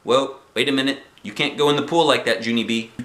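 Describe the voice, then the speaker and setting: A man's voice: medium pitch, steady tone, quick pace, reverberant, background noise, pitched above the performer's own voice, natural voice. A man voicing a sensible, firm mother in a storybook, speaking matter-of-factly.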